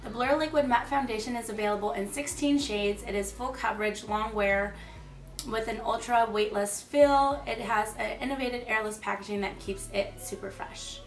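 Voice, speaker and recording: Hoarse twenties woman, fairly high voice, excited reviewer, roomy echo, a bit off-mic. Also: moderate pace, far from the mic. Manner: chatty and enthusiastic, many fillers. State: hoarse, losing her voice. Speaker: beauty vlogger. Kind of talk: casual product review. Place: small room, plain wall. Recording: echoey room, slightly off-mic.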